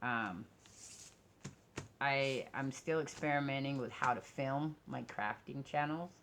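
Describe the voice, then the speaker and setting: A woman with a strikingly low voice talks in a casual personal vlog. She rambles informally and candidly, drifting between thoughts and often changing track mid-sentence. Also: slow pace, fairly bright tone, slight background noise. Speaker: vlogger.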